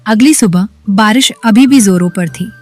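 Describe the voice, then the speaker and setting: A woman narrating a cartoon story in an even, storytelling manner. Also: medium pitch, normal speed, faint music behind.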